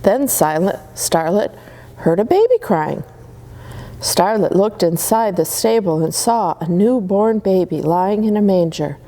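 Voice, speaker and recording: A woman reading Christmas stories aloud to children in a gentle, soothing way. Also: mid-range voice, expressive, a little slow. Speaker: storyteller.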